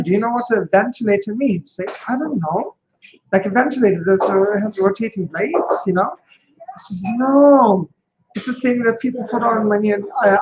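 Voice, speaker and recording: A man's voice: high-pitched, dull audio. A man from Germany with a German accent, casually hosting a comedy show online and telling a chatty story, with German as his first language. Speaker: online co-host.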